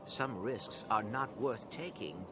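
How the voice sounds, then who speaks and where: A man's voice: fairly low pitch, slow, noisy background. A man playing a small, wise robot sidekick in a video game, speaking formally and precisely, with earnest caution. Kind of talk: animated game cutscene acting.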